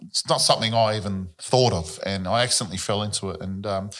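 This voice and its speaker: An Australian man, a host, chatting casually and telling animated stories about his sporting past. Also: fairly low pitch, fast talker. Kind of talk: casual chat.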